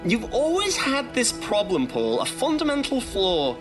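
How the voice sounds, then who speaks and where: An actor playing a blunt, mocking British ghost in a comic audio drama, with theatrical delivery and quaint slang. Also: male voice, very high voice, huge pitch swings, a little slow, music in the background, exaggerated voice. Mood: blunt, scolding. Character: cheeky, blunt British Christmas ghost man.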